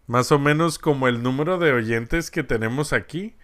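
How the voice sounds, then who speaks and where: A man co-hosting a podcast with dry, teasing humor. His voice is bright and crisp. He keeps the banter light and mocking. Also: medium pitch, moderate pace. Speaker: podcast co-host. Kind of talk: casual banter.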